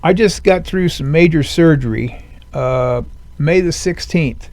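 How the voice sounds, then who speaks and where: An older man with a fairly high, strained voice that has a gravelly edge. He talks in a plainspoken, rambling way with many fillers. The sound is dry and close. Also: a little slow, darkish tone, dry recording. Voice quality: high-pitched, strained since surgery. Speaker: interview guest, veteran. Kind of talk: conversational personal story. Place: veteran center studio.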